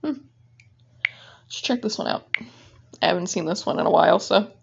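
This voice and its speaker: A woman's voice: fairly low pitch, normal speed, vocal fry, breathy edge. A woman casually reading her own fan fiction aloud, informally breaking off to comment on it. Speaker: fan fiction writer and reader. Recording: dull, lo-fi microphone sound.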